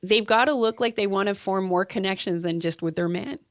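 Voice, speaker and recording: A woman guest on a podcast talking in a measured way, thinking aloud with fillers. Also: medium pitch, no room sound, clean studio sound. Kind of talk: casual podcast chat.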